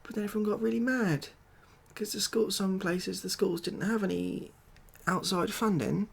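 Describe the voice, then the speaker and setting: A British man doing a soft-spoken ASMR comedy roleplay as a glum, overwhelmed ex-builder, rambling along in a hesitant, deadpan way. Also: high voice, moderate pace, gravelly, breathy edge. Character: glum, overwhelmed ex-builder made president of the world.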